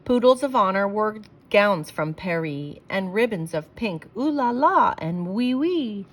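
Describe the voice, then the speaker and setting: A woman reading rhyming verse aloud for children in an expressive, theatrical storytelling way, leaning on the rhymes. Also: mid-range voice, unhurried.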